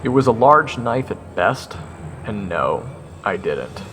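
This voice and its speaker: A man narrating a first-person fantasy story in a theatrical way, with dramatic pauses. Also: medium pitch, very animated. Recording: loud music under the narration.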